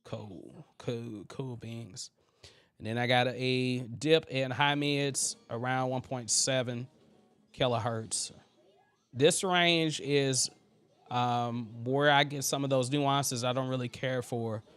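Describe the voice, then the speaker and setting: Male host, thin but smooth voice, loose and talky, live tutorial feel. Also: medium pitch, fairly even intonation, takes it slowly, a bit bright. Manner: loose and chatty, full of fillers and asides to the chat. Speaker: host teaching vocal mixing. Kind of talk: casual live tutorial, chatting with viewers.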